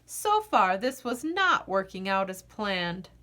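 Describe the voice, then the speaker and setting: A woman reading a children's picture book aloud as an expressive narrator, with deliberate phrasing and dramatic pauses. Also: medium pitch. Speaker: children's storybook reader.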